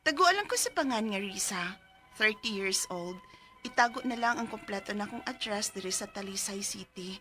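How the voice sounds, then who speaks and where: A woman acting a lively, caring single mother of thirty in a Cebuano radio drama, speaking expressively with a Cebuano accent. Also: mid-range voice, moderate pace, faint music behind. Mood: earnest narration. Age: 30s. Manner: expressive, animated. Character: 30-year-old single mother, lively, caring, worried about her child. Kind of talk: Cebuano radio drama, letter narration and dialogue.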